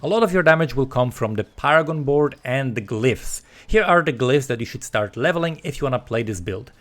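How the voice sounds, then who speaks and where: A man delivers an instructional gaming voice-over with a mid-pitched voice. He speaks as a content creator walking the viewer through a guide, explaining things directly and clearly in a prepared, scripted way. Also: expressive, fairly quick, a bit bright. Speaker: gaming video creator presenting a build guide.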